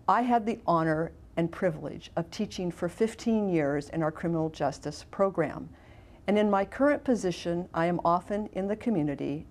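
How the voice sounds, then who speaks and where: A middle-aged woman giving a formal, measured, prepared testimonial as a college administrator. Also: lowish voice, darkish tone. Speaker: college administrator, former criminal justice instructor.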